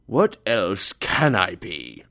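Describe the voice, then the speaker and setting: A man's voice: sits low, animated, a little slow, bright, forceful tone, heavily acted. A man voicing a grumpy, miserly older uncle in a storybook reading, curt, bitter and scornful. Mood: angry.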